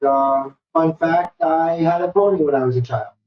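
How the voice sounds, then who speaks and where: A man's voice: a little high, normal speed, highs cut off. A middle-aged man from the United States introducing himself as a player, candid and easygoing. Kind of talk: casual self-introduction.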